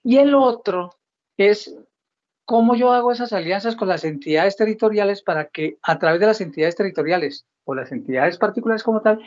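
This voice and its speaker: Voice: medium pitch, a little mellow, muffled recording. A government official explaining programs in a didactic way, posing questions and then answering them. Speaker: government housing ministry official. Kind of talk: explanatory talk on housing programs.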